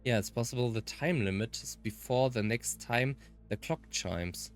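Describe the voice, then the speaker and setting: A man's voice: mid-range voice, moderate pace, faint music behind, natural voice. A man voicing an earnest, easily flustered young male protagonist of a visual novel. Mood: thoughtful.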